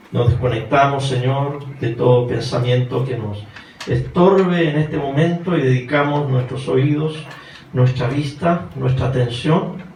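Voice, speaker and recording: A man's voice: mid-range voice, darkish tone. A man with a Chilean accent preaching a sermon as a pastor, putting questions to his listeners. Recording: roomy, distant mic, faint noise.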